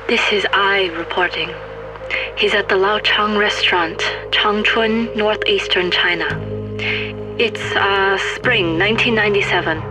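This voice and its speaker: Woman acting Ai, a slow, deadpan agent. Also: medium pitch, over music, lightly acted, low-quality recording. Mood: cool, dry. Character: cool, methodical woman agent named Ai, dryly joking. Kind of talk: audio drama log narration.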